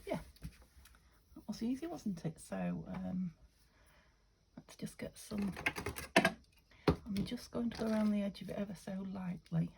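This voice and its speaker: A woman from the UK chatting through a craft-along, thinking aloud and often dropping to a half-whisper, her voice a little croaky. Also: medium pitch, unhurried, long pauses, very noisy. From United Kingdom. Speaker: craft vlogger. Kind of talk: casual craft-along chat.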